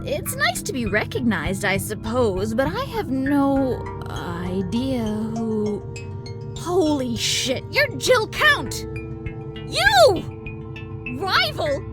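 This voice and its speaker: High-pitched, dramatic villainess Kiki. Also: female voice, big pitch swings, extremely slow, over loud music, full character voice. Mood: startled, annoyed. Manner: exasperated, theatrical, swearing. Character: Kiki, sardonic, dramatic, gun-toting female villain rival. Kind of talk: visual novel game voice acting.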